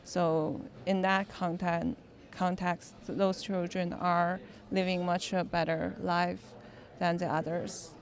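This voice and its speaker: Slow, low-pitched woman director. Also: flat delivery. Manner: thoughtful, halting, with restarts and "like". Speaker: film director. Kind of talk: interview answers.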